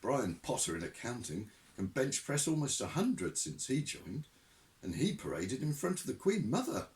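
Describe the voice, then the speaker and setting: A man voicing a timid, nervous young man who speaks hesitantly and squeaks. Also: big pitch swings, normal speed, lightly acted.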